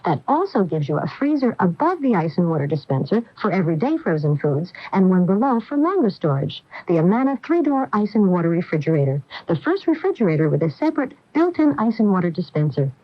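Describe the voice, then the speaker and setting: A woman's voice: lowish voice, big pitch swings, fairly quick, few pauses, no room sound. A woman reading a scripted radio advertisement with a polished, emphatic sales delivery. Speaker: commercial announcer. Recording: dull lo-fi 1980 radio broadcast.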